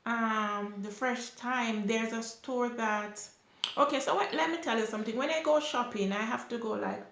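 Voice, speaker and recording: A woman's voice: a little high, slight background noise. A young woman from Jamaica with a Jamaican accent, chatting casually as she answers viewer questions from her sofa. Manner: unhurried, chatty, with lively rises when emphatic. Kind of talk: casual Q&A chat. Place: small indoor room, seated on a sofa. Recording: echoey room.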